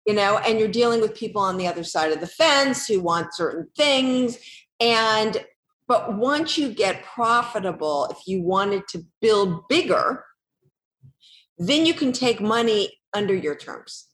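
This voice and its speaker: A woman's voice: mid-range voice, lively intonation, measured pace, a bit bright. Affirming woman host in an echoey room. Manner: affirming, thinks aloud with fillers. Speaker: interviewer, host. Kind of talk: conversational interview.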